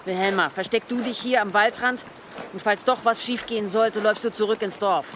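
A woman's voice: mid-range voice, fairly even intonation, brisk, background noise, lightly acted. A woman playing a fearless, scornful medieval warrior widow in a radio drama, resolute and taunting. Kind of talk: German radio drama acting.

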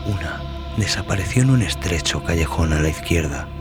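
A man with a very deep voice delivers scripted horror narration. He is solemn and deliberate, leaving dramatic pauses between phrases. Music plays clearly behind the voice. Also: expressive. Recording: voice over prominent background music.

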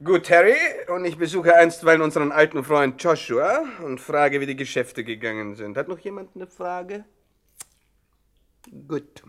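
Ein Schauspieler spielt einen herrischen, ungeduldigen Bandenchef in einer Krimikomödie im Radio, knapp, kommandierend und spöttisch. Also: male voice, on the high side, moderate pace, a bit bright, natural voice. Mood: commanding. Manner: commanding, curt, mocking. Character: gruff, bossy male gang boss, impatient and scornful. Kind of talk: 1960s German radio crime comedy acting.

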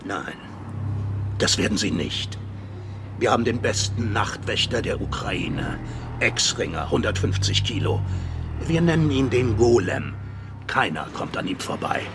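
Stern, creaky-voiced morgue official. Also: male voice, lowish voice, big pitch swings, a little slow, music in the background, exaggerated voice. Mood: smug, firm. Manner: officious, curt. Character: stern, stubborn male morgue official guarding the door. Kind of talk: radio crime drama dialogue.

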